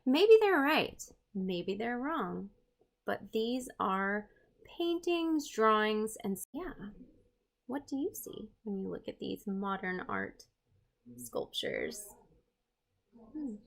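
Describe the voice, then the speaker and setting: A woman hosting an art read-aloud, expressive and playful, with chatty, self-deprecating asides to her viewers. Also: medium pitch, slow pace, gravelly, long pauses. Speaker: host, art teacher reading a picture book aloud.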